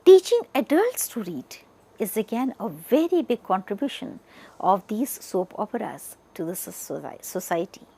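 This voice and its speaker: Female lecturer, Indian accent, mid-pitched voice with noticeable creak, deliberate explanatory delivery. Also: very animated, mellow. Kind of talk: educational lecture, partly read from notes.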